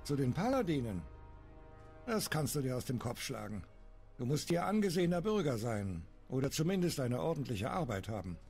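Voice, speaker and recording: Husky fantasy bow-maker. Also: male voice, mid-range voice, big pitch swings, normal speed, darkish tone. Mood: dismissive. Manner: frank, plain-spoken. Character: frank male bow-maker and fur trader. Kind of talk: fantasy video game dialogue acting. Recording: music behind the voice.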